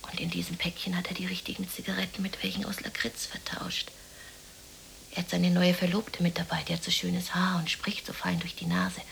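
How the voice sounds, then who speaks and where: An actress playing a lonely, depressed, dreamy woman in a radio play monologue, musing haltingly to herself in a breathy half-whisper. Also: fairly low pitch, a bit bright, slight background noise, rough recording. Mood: haunted, remembering.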